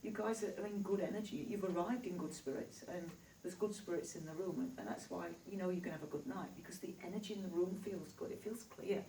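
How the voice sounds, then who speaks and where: A woman with a Yorkshire accent speaks to an audience in a chatty, reassuring way. Her voice sits a little low and rings around a large reverberant hall, heard from some distance, with faint room noise behind. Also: quick pace, hardly any pauses, dark tone. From Yorkshire, England. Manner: chatty, reassuring, laughs easily. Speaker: spiritual healer. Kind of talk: live stage talk to an audience. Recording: echoey hall recorded from afar.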